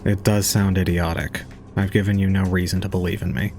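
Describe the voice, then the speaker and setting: Male actor as brooding young antisocial man, deep voice, formal cold diction, detached audio drama narration, faint music behind. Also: level tone, fairly quick, gravelly, darkish tone. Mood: cold, dismissive.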